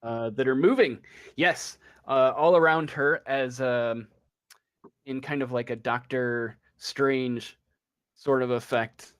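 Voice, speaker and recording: Slow, halting man running a tabletop game. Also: mid-range voice, steady tone, smooth, dry recording, studio quality. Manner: halting, pausing mid-sentence. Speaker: game master. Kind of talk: improvised narration of a tabletop roleplaying game.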